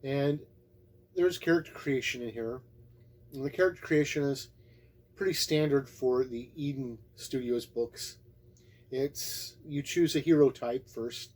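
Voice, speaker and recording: A man giving a casual, unscripted game review in a halting, plain-spoken way, as a reviewer talking to viewers. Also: medium pitch, darkish tone, husky. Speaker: YouTube tabletop game reviewer.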